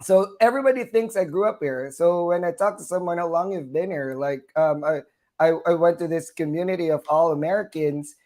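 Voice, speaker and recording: A man's voice: on the high side, darkish tone. A nurse from the Philippines with a Southern US twang, a man talking chattily as an interview guest, with frequent fillers and laughs between phrases. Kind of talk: conversational interview.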